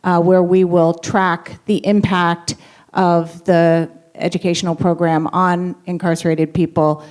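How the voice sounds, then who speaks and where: A woman speaking as a faculty panelist, measured and halting with fillers. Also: lowish voice, little pitch movement, a little mellow, clear, resonant tone. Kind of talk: panel discussion answer. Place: university event room.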